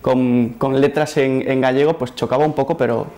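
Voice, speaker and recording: A man in his thirties interviewing a guest in a TV studio, asking questions in a halting way with repeated words, on a lapel mic. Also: medium pitch, steady tone, mellow, slight background noise. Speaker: journalist and interviewer. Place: TV studio set.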